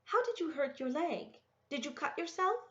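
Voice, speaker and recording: A woman's voice: high-pitched, big pitch swings, moderate pace, bright, forceful tone, dull audio, voice pushed up, exaggerated voice. A woman voicing a curious, plucky young country girl who asks direct questions in a storybook. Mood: puzzled, eager.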